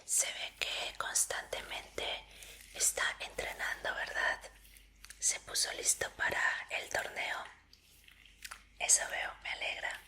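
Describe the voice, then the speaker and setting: A woman doing a whispered ASMR medical roleplay in a breathy voice, speaking politely and reassuringly as an examiner. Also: slow, sharp tone.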